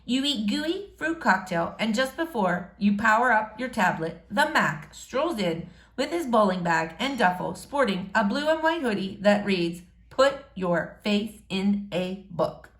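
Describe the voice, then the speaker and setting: A woman's voice: fairly high pitch, very animated, a little slow, few pauses, a bit bright. A woman narrating a novel aloud expressively, like a teacher reading to pupils. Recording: roomy, echoing room sound.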